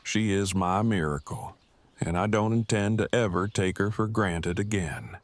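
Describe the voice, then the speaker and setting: An actor playing a wordy, earnest survivor in an audio drama, with stilted, formal and deliberate diction. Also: male voice, on the low side, a little hiss or hum, lightly acted. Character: verbose, formal, earnest man in love, post-apocalyptic survivor.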